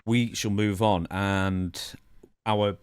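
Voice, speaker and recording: Low-voiced man hosting a podcast. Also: fairly even intonation, slow pace, clear, resonant tone, no room sound, clean studio sound. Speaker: podcast host. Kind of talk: conversational news presenting and questioning.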